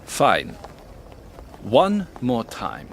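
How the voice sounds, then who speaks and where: Lively, swooping intonation marks a pompous intellectual husband in a radio drama. He is skeptical, articulate and coolly dismissive, with faint ambience behind him. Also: medium pitch, very slow, creaky, background noise, exaggerated voice. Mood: indignant. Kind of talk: audio drama acting.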